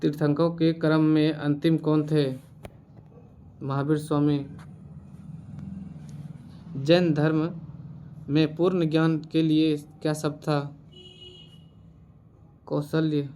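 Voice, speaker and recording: A man's voice: fairly high pitch, flat delivery, slow, long pauses, warm tone, a little hiss or hum. A man teaching as a quiz host, reading out questions and answers in an instructional way and repeating the answers. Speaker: quiz teacher for exam preparation.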